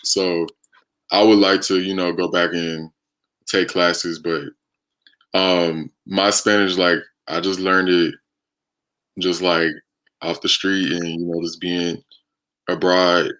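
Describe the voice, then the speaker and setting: A man's voice: sits low, level tone, measured pace, a bit bright, rough recording. An American man hosting a casual live stream, chatting conversationally as he takes viewer questions. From United States. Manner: conversational, reading and answering viewer comments.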